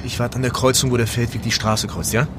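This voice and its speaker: A man playing an easygoing young activist driver in an audio drama, casual and steady. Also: mid-range voice, fast talker, close to an everyday voice. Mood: calm, slightly tense. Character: easygoing young male activist driving getaway van. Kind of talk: naturalistic audio drama acting. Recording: loud music bed, vehicle noise.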